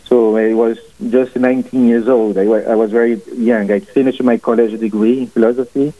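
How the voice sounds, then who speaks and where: A man in his forties with a Portuguese accent, a priest guest speaking haltingly, with many fillers and restarts, on a radio interview. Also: mid-range voice, flat delivery. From family from Portugal. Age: 40s. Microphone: phone call into studio. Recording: phone line.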